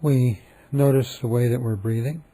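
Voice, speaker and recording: A man leading a guided meditation, speaking calmly and softly with long, repetitive pauses. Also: mid-range voice, breathy edge.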